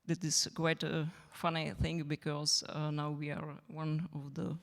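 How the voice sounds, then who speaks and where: Slow, flat-voiced woman on a panel. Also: sits low, vocal fry. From Czech Republic. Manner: hesitant, many fillers. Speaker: panelist, magazine editor. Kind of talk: panel discussion remarks. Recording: reverberant hall.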